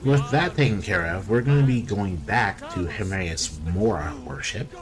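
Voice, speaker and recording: Low-pitched man doing gameplay commentary. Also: normal speed. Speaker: gaming video host. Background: game music.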